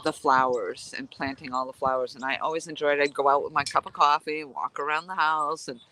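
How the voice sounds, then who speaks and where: A woman's voice: deep voice, fairly even intonation, a little fast, bright, forceful tone. A woman with a nasal voice, chatting casually and rambling as she vlogs outdoors while fishing. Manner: chatty, rambling, laughs easily. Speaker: vlogger. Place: outdoors at a lakeside camp.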